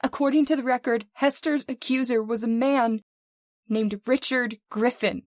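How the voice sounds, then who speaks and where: Woman as distraught wife, radio melodrama, high voice, earnest pleading, dry sound. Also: fairly even intonation, moderate pace, a little mellow, no room sound, lightly acted. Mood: urgent. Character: distraught, pleading wife convinced of a witch's revenge. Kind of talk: old-time radio horror acting.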